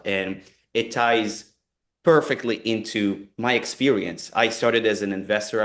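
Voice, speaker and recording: Brief: Israeli man, 40s, fairly low voice, earnest conversational webinar speaker who repeats himself. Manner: earnest, repeats words and restarts phrases. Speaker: company co-founder presenting a webinar.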